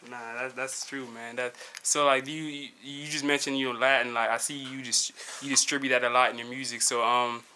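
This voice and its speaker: Brief: male interviewer with a bright, forceful tone who stumbles through his questions in casual chat. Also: mid-range voice, level tone, slight background noise. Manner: halting, many restarts and fillers.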